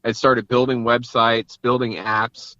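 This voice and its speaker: Bright-voiced man chatting, dull recording. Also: medium pitch, level tone, a little slow, no echo. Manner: informal, conversational, thinks aloud. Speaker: guest, entrepreneur and father. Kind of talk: casual interview chat.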